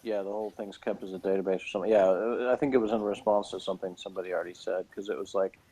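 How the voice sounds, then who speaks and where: A man's voice: deep voice, fairly even intonation, racing, mellow, husky, low-quality recording. A man co-hosting a review show in casual chat, with a flippant, joking way of talking.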